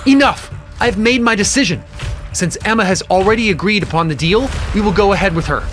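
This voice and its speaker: A man's voice: high-pitched, fairly quick, fairly bright tone. A scheming, exasperated businessman fiancé over music. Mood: angry. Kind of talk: audio drama acting.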